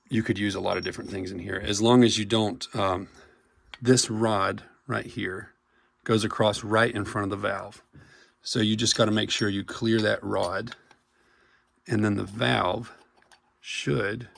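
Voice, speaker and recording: Hoarse, gravelly man with a cold. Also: lowish voice, fairly bright tone. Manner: casual, halting explanation with many fillers and restarts. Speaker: paintball marker technician. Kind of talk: hands-on repair tutorial.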